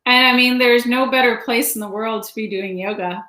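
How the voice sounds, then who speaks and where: A Canadian woman hosting a casual interview, chatty and letting her sentences trail off softly. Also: fairly high pitch, moderate pace, roomy sound. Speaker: podcast host.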